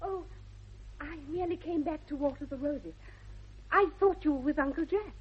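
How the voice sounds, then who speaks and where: A woman's voice: high voice, normal speed, close to an everyday voice. A woman playing an eager, sweetly earnest young English lady with precise, gushing diction in a radio comedy of manners. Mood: coy, romantic. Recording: dull 1960s radio recording.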